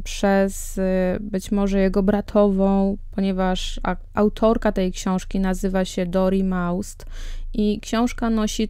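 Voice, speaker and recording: A woman's voice: medium pitch, mellow, smooth, no room sound. A woman narrating a scripted true-crime podcast as its host.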